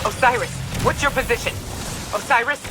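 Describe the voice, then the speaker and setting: A man's voice: extremely high pitch, brisk. A man playing a stern, urgent military commander in a sci-fi game, giving terse, authoritative orders. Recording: very loud music over voice.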